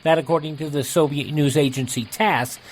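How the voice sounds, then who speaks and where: A man's voice: on the high side, fairly even intonation, moderate pace, slight background noise. A man hosting a radio show, reading old headlines as a scripted announcer. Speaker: radio host and news reader.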